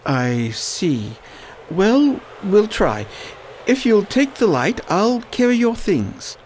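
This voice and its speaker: Woman as worn-down male plague fighter, low and dry, animated intonation, amateur radio drama. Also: slow pace, slight background noise, rough recording. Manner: low, tired, wry. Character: weary Oxford-educated man fighting plague.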